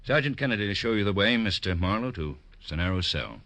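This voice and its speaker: A man acting a shrewd but humane prison warden in an old-time radio drama, formal and measured with an air of authority. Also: on the low side, expressive, sharp tone, no room sound. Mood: firm, sympathetic.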